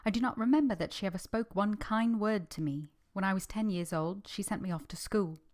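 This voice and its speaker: A woman's voice: mid-range voice, a little fast, darkish tone, lightly acted. A woman playing a plain-spoken, defiant orphan girl who tells her own story in a vintage radio drama. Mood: sober, narrating.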